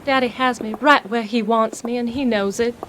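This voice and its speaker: An actress playing a conflicted but determined tycoon's daughter in an audio drama, earnest and exasperated. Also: female voice, fairly high pitch, fairly even intonation, normal speed, a bit bright, a little hiss or hum, natural voice. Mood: troubled.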